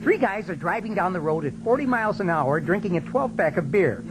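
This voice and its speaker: An actor with an American accent playing a teasing, laid-back high school party boy in a radio drama. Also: expressive, fairly quick, very noisy.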